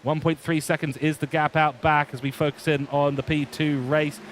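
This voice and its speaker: A man's voice: on the high side, level tone, moderate pace, a little hiss or hum. A man with a British accent, born in England, giving live race commentary for an online racing league, chatty, with many fillers. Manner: chatty, reads viewer chat aloud, many fillers.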